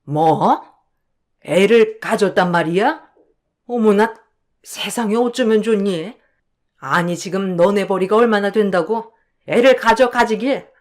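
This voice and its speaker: A woman voicing a snobbish older mother-in-law who talks in a sharp, scolding, dismissive way. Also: medium pitch, unhurried, lightly acted. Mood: indignant. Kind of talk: dramatized storytelling.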